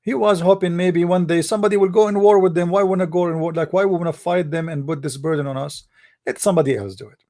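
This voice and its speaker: A man whose first language is Arabic, giving a heated casual monologue, often pausing and searching for words. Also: sits high, fairly even intonation, mellow. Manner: halting, searching for words, many fillers; heated, blunt opinions. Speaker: commentator.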